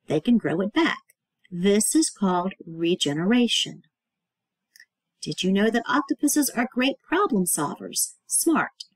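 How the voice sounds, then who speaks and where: Expressive, slightly husky woman teaching children. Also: mid-range voice, no room sound. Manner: expressive, child-directed, asks checking questions. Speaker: teacher reading aloud to young children. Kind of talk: read-aloud lesson with simple asides.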